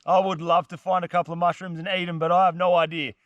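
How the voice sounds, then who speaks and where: Blocked-up vlogger, high-voiced. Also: male voice, flat delivery, quick pace, dry recording, lo-fi sound. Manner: laid-back, chatty, slangy. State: congested, blocked-up nose. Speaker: fishing vlogger. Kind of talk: casual outdoor vlog to camera. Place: dense humid forest, outdoors.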